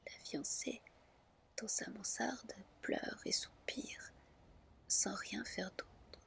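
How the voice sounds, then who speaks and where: A woman reading a fairy tale as ASMR in a gentle whisper, with hushed, deliberate phrasing. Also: on the high side, vocal fry. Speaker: ASMR storyteller.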